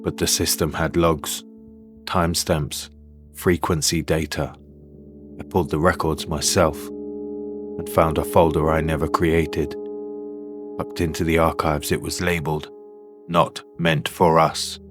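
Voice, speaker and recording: A man playing a haunted signal technician, telling a horror story in the first person with deliberate, broken phrases. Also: a bass voice, level tone, music in the background. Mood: ominous.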